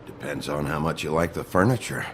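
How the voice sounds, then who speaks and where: A man voicing a gruff, sullen video game detective with a short temper, gravelly and curt in a sardonic way. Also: very deep voice, brisk, a little hiss or hum. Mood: angry, sardonic.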